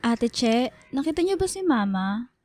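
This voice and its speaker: A woman's voice: fairly high pitch, very animated, moderate pace, a little mellow, no room sound, soft background music. A woman playing a polite, resigned young student daughter in a radio drama, speaking in a subdued way. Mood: subdued, disappointed.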